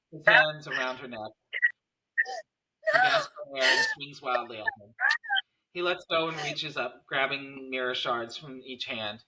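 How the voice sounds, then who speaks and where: A man's voice: mid-range voice, pushed and bright, rough recording. A man reading screenplay stage directions as the narrator of a table read.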